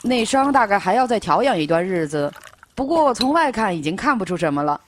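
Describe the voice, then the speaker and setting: A woman's voice: mid-range voice, very animated, fairly quick, slight background noise, lightly acted. A woman voicing a fretful, caring attendant to an immortal prince in a Chinese audio drama. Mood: reporting gently.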